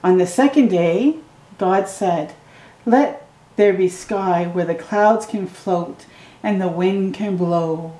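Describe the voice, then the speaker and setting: Slow, mellow-voiced woman reading a storybook. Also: lowish voice, reverberant. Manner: slow, gentle reading with excited asides to the viewer. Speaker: storytime reader. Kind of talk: reading a picture book aloud to children. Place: living room at home.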